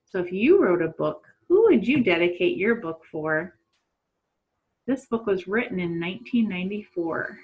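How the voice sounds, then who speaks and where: A middle-aged woman, a teacher doing an expressive storytime for children and putting questions to young listeners. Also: lowish voice, normal speed, phone-quality sound. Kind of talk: read-aloud storytime for children. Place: small room at home.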